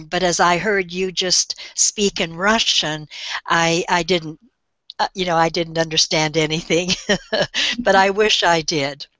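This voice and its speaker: Slow, bright-voiced older woman. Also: on the low side, highs cut off, slight background noise. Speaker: guest speaker. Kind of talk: slow, thoughtful storytelling. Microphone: webinar call.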